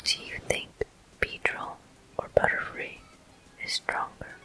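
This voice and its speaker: A person whispering ASMR commentary over a video game walkthrough in a hushed, unhurried, explanatory way, pausing between short phrases. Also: low-quality recording. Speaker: gameplay host.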